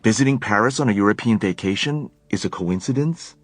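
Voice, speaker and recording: A man's voice: lowish voice, lively intonation, a little fast, dry recording, voice pushed up. A man voicing a guarded young man who speaks tersely. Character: guarded young male Shadowhunter on vacation.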